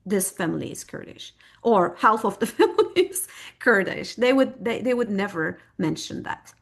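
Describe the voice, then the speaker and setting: A woman's voice: medium pitch, animated, normal speed, vocal fry. A middle-aged woman from Turkey, a native Turkish speaker, speaking as a guest historian in a podcast interview, thoughtful and searching for words with frequent fillers.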